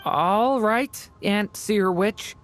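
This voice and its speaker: A woman voicing a gentle, polite young boy who sounds earnest and a little unsure, in video game dialogue. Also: medium pitch, extremely slow. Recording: faint music behind the voice.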